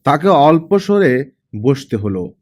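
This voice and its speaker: A man's voice: on the high side, big pitch swings, slow, darkish tone. A man narrating a scripted story as an audiobook reader, acting out the dialogue as he goes.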